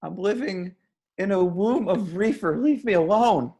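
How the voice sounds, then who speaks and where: A man's voice: high-pitched, unhurried, dark tone, slightly rough, heavily acted. A man playing a stoned, grumpy, sarcastic young slacker in an amateur table read. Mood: sardonic, stoned.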